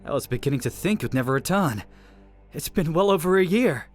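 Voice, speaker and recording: A man's voice: on the high side, a little fast, faint music behind, lightly acted. A man playing an eager young stable boy in an audio drama. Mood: delighted. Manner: excitable, wistful.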